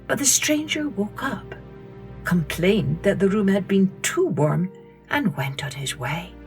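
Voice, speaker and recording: A woman narrating scripted stories for children in a measured, deliberate way with pauses. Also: medium pitch, big pitch swings, soft background music. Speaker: podcast host and storyteller.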